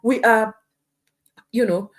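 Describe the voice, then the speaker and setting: A woman's voice: a little high, steady tone, slow pace. A middle-aged woman from Uganda hosting a motivational live stream, talking earnestly and often checking in with "you know" and "hmm?".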